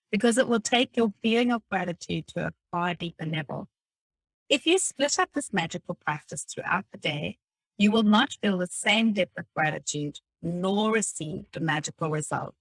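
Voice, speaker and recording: A woman reading a motivational self-help text aloud in a deliberate, instructive way. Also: on the low side, fairly bright tone, breathy edge, no room sound, rough recording. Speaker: reader of a self-help book. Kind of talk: scripted read-aloud of a motivational audiobook text.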